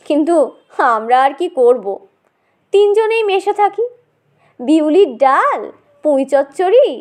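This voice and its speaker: Slow, high-pitched, animated woman storyteller. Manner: expressive, theatrical storytelling. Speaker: audiobook narrator. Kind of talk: dramatized comic story narration.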